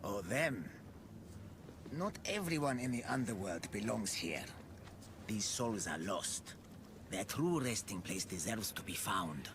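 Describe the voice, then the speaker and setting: A man's voice: lowish voice, expressive, takes it slowly, noisy background. An actor voicing a weary, sardonic ferryman of the dead in a video game, with a wry, theatrical delivery. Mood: matter-of-fact.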